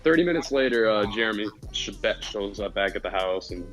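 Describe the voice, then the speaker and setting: A man's voice: on the low side, lively intonation, a little fast. A young man casually telling a story to friends in a rambling, slangy and profane way. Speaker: podcast co-host telling a personal story. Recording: background music under voice. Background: music.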